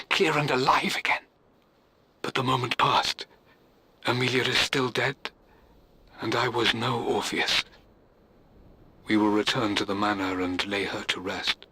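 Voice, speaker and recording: An actor playing a grieving, obsessed widower of middle age, delivering a solemn journal monologue for a game. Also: male voice, mid-range voice, sharp tone, slightly rough. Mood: anguished longing, then bleak resignation. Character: grieving, obsessive English lord mourning his dead wife.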